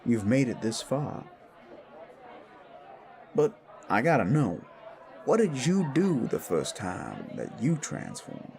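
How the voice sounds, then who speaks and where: Seeking a man for a frank supernatural mentor in audio drama: unhurried, lowish creaky voice, blunt counsel. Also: wildly swooping pitch, darkish tone, a faint crowd, exaggerated voice. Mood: frank, sympathetic. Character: wise, frank male supernatural mentor in a bar. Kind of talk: scripted audio drama dialogue.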